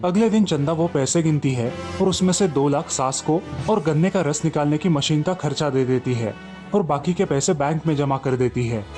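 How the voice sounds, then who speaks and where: A man's voice: on the high side, a little mellow. A man telling a children's story as a scripted narration, over background music.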